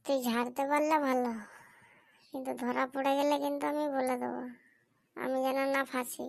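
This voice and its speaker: A woman's voice: high-pitched, flat delivery, normal speed, gravelly. A woman voicing a naive, curious young son in a comic cartoon, with a childlike questioning manner. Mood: wary, chatty. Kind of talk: amateur comic cartoon dubbing.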